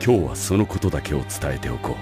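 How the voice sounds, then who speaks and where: Deep-voiced, calculating school director. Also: male voice, lively intonation, over music. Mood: calm, calculating. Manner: measured, formal, authoritative. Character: calm, calculating male school director with secret plans. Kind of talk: anime audio drama acting.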